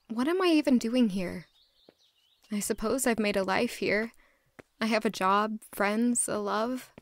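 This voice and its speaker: A woman playing a gentle, self-doubting young castle maid in an audio drama, speaking softly and earnestly. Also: medium pitch, lightly acted. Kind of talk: audio drama acting with intimate first-person narration.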